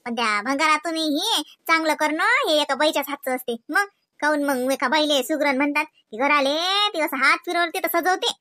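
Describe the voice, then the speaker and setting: A woman's voice: high-pitched, normal speed, sharp tone. A woman voicing fussy, playful housewives in a Marathi comedy cartoon, with a Varhadi accent and exclamatory, chatty delivery. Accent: Varhadi Marathi from Vidarbha.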